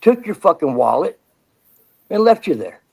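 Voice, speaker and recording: A man hosts a podcast in a rather high voice. He talks bluntly and casually, with slang and quick laughs, steering the conversation with questions. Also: very animated, vocal fry, a little mellow, slightly rough. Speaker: podcast host.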